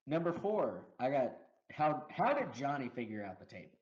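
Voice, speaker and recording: Co-host, male, lively pitch, chatty movie rundown, slightly dull audio. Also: moderate pace. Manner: animated, laughs often, acts out quotes. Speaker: podcast co-host. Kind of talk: casual movie review chat.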